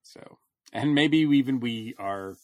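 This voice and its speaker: A man's voice: mid-range voice, takes it slowly, darkish tone, dry recording, dull audio. A man talking casually as a podcast host, rambling a little as he thinks aloud. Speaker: podcast host reviewing comics. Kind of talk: casual chat.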